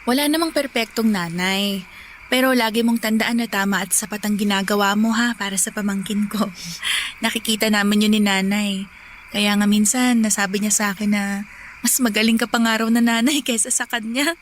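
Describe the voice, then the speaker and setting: An actress playing an affectionate, chatty younger sister and doting aunt in a radio drama. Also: female voice, mid-range voice, fairly even intonation, normal speed, a little hiss or hum, close to an everyday voice. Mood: reassuring, playful. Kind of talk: radio drama dialogue in Tagalog.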